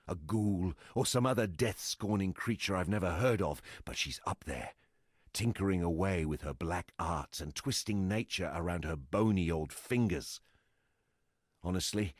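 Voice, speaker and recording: A hard-bitten ex-smuggler from a fantasy video game. His voice is very low and husky, with a gravelly edge. He speaks bluntly and grimly, like someone worn down by hardship, in a very dry recording. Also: no echo, exaggerated voice. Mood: grim disgust. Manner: blunt, rough-spoken, salty cursing. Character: gruff, world-weary male ex-smuggler survivor, blunt and grim. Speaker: game non-player character. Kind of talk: scripted video game dialogue.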